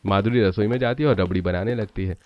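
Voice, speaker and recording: A man's voice: on the low side, fast talker. Muffled male cartoon story narrator.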